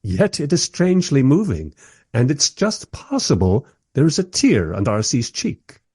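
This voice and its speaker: A man's voice: mid-range voice, wildly swooping pitch, unhurried, darkish tone, slightly rough, dry recording, studio quality, exaggerated voice. An actor playing a wry modern filmmaker who narrates his own story in a scripted radio play. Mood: wry, observant.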